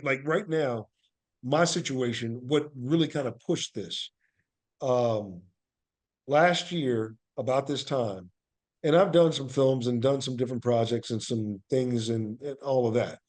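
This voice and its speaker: An American man speaking as an interview guest, telling stories in an animated, emphatic conversational way and often checking that the listener follows. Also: medium pitch. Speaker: guest, actor and playwright.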